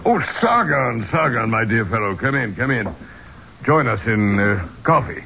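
Gravelly, courteous gentleman expedition leader. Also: mid-range voice, very animated, heavily acted. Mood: genial, courteous. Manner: courteous, measured, placating. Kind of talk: 1970s radio drama acting. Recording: muffled, hissy 1970s radio recording.

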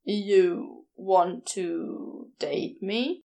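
A woman voicing a smitten, hesitant but insistent man in an audiobook, halting and earnest. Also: mid-range voice, vocal fry, dark tone, voice pushed down. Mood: uncertain, hopeful. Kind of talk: audiobook character dialogue.

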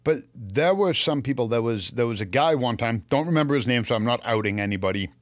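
Canadian guy co-hosting a garden chat, animated storyteller, medium pitch, close dry sound. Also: quick pace, dry recording. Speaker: co-host of a gardening show. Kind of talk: casual chat with anecdotes.

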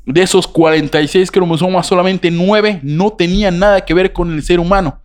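A man putting on a mock Cuban accent as an excitable doctor giving scientific testimony in a comic impression. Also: sits high, moderate pace, pitched above the performer's own voice, natural voice. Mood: mock-earnest. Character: excitable Cuban doctor testifying about alien tissue samples. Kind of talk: comic impression on a talk show.

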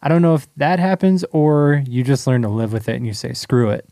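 Mellow-voiced man on a podcast, dry sound. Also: medium pitch, animated, brisk, dry recording. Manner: easygoing and conversational, laughs often, leads with questions. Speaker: podcast co-host. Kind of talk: casual chat.

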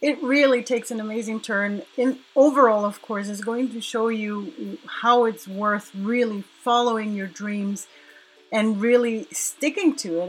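A woman's voice: mid-range voice, rough recording. A woman hosting a podcast, talking enthusiastically and laughing often. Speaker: podcast host. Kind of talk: podcast interview.

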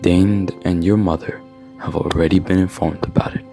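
Man playing a basketball coach, deep voice, earnest, solemn mentor, audio drama, music behind. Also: steady tone, normal speed, gravelly, mellow. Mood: grave, disappointed. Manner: solemn motivational speechifying. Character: earnest male basketball coach, stern but caring mentor. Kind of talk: student audio drama acting.

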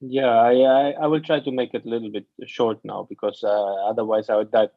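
A man who grew up in Afghanistan and Germany, a mental training coach telling his story haltingly in an interview, often restarting his sentences. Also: mid-range voice, flat delivery, no room sound, dull audio. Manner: halting, searching for words, with many restarts. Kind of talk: casual interview talk.

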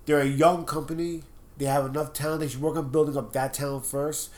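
A man's voice: fairly high pitch, steady tone, a little mellow. A man with a stuffed-up nose, co-hosting a casual wrestling podcast and arguing his opinions at length. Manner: opinionated, talks at length in quick bursts. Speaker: podcast co-host.

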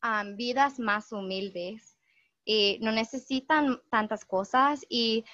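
A young woman whose first language is English, talking slowly and thoughtfully in learned Spanish as a podcast guest, with many "am" fillers and restarts. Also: fairly high pitch, bright, forceful tone, no echo. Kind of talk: casual conversation in learned Spanish. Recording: dull, dry lo-fi recording.

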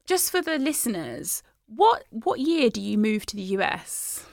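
Young woman host, bit of vocal fry, dry sound, chatty and eager. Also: mid-range voice, very animated, measured pace, dry recording. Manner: warm, enthusiastic, laughs readily. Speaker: podcast host. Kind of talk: conversational interview.

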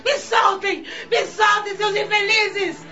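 A woman's voice: very high-pitched, little pitch movement, slow, bright, forceful tone, exaggerated voice. A woman playing a vengeful, raging middle-aged mother in a melodramatic radio soap, hysterical and insulting. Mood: enraged. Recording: dull lo-fi audio, music underneath.

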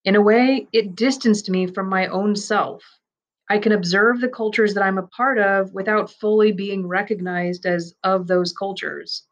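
A woman reads a personal account aloud at a middle pitch, steady and measured. Her delivery is reflective and earnest, keeping an even course through the first-person telling. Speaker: narrator reading a man's first-person story.

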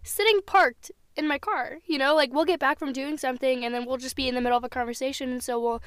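A young woman co-hosting a casual podcast from a parked car, hoarse from a cold, chatty, laughing and restarting her words. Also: sits high, fairly even intonation, fast talker, dry recording. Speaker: podcast co-host. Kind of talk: casual chat.

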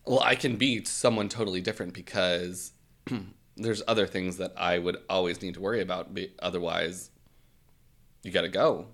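A man's voice: sits low, steady tone, moderate pace, vocal fry. A young man whose first language is Swahili, speaking thoughtfully and hesitantly in a casual podcast chat. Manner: thoughtful, hesitant, with restarts and soft laughs.

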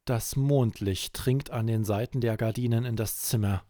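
A man hosting a children's podcast, narrating a scripted bedtime story in an expressive, deliberate way. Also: medium pitch, dry recording, studio quality.

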